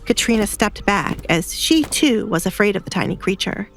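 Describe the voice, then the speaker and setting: A woman's voice: mid-range voice, lively intonation, normal speed, creaky, faint music behind. A woman narrator reading a scripted romantic drama in a storytelling style.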